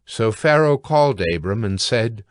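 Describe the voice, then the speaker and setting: A man narrating scripture from a script in a measured, formal reading manner. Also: medium pitch, lively intonation, no echo, clean studio sound.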